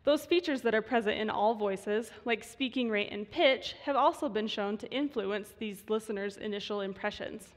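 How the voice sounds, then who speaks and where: Young female speaker with vocal fry, slightly high-pitched, stage talk in a reverberant hall. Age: 20s. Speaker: student voice researcher giving a talk. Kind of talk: prepared short stage talk.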